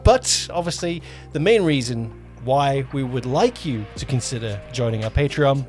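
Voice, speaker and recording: A man co-hosting a casual podcast as a producer, talking in a halting way, correcting himself and repeating phrases. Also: mid-range voice, lively intonation, a little mellow, soft background music. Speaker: podcast co-host, audio drama producer.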